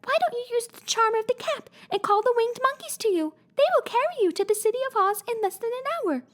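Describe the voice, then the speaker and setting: A tiny queen of the mice speaks in a squeaky, piping voice, quick and kindly. The pitch sits far above a normal speaking voice. She sounds brisk and helpful, and she is pitched a little quieter than the narration around her. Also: expressive. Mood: friendly helpful. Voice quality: squeaky, very high.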